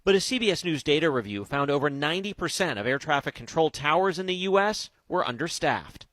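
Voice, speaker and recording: A man's voice: on the high side, lively intonation, a little fast, a bit bright, no room sound. A man reading scripted narration as a news reporter.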